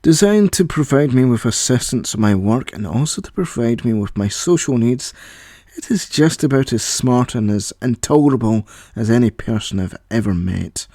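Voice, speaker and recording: A man's voice: mid-range voice, expressive, moderate pace, dark tone, no room sound, studio quality, close to an everyday voice. Man playing a boastful, exasperated boy genius. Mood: weary. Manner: boastful, easily irritated. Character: young boy genius inventor, proud and exasperated.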